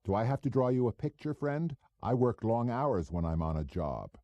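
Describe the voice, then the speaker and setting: Man acting a sneering, slangy wise-guy, raised pitch. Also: lively intonation, moderate pace, mellow, no echo, highs cut off, close to an everyday voice. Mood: needling. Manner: slangy, needling, cocky. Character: sneering, talkative wise-guy private operative, male, crude and cynical.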